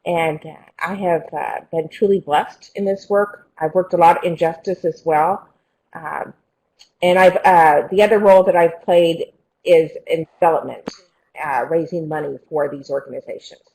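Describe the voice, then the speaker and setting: A middle-aged woman, a Franciscan sister, introducing her work on a video call panel in a plain-spoken, unhurried way. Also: low voice, fairly even intonation, a little mellow, rough recording.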